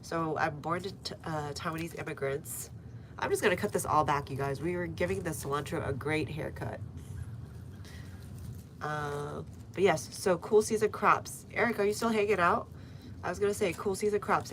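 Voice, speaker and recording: Woman, stuffy nose, low voice, chatty garden livestream host, noisy outdoor sound. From United States. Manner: chatty, reads viewer comments aloud, frequent fillers. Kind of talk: casual live-stream chat.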